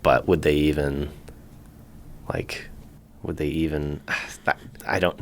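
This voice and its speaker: A man co-hosting a casual podcast, thinking out loud and laughing easily as he guesses trivia answers. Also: a bass voice, fairly even intonation, slight background noise. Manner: easygoing, thinks aloud, quick to laugh. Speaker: podcast co-host. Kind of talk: casual chat.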